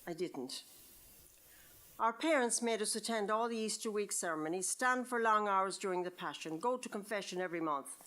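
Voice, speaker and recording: A woman's voice: medium pitch, normal speed, fairly bright tone. A woman with an Irish accent reads her own short story expressively to a live event, as a storyteller would. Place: live reading event at an arts venue.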